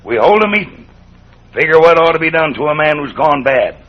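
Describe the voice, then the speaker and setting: An actor playing a stern, stubborn cowboy camp cook in an old western radio drama, speaking in a plain, firm drawl with a husky voice. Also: male voice, fairly high pitch, sing-song, brisk. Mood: grave, defiant. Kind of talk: 1940s-50s western radio acting. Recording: dull old radio recording.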